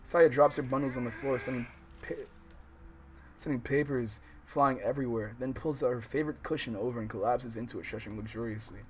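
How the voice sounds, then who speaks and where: A man's voice: medium pitch, a little fast. American man, mid-range voice, livestream host, reading game text aloud, slangy, profane, casual, stumbling delivery, faint background noise. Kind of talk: casual let's-play, reading visual novel text aloud and reacting.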